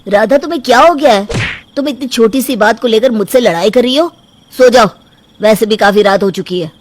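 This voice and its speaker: A woman voicing a mild young husband in an animated story, who speaks in a reasoning, soothing way. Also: fairly high pitch, a little fast, lightly acted. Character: young husband, mild and devoted to his mother. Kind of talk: cartoon storybook voice acting.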